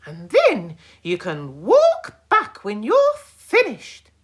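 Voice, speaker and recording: A woman's voice: fairly high pitch, huge pitch swings, takes it slowly, husky, pitched above the performer's own voice, full character voice. A woman reading a children's storybook, voicing a bossy, indignant old granny who scolds and commands. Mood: furious. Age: older.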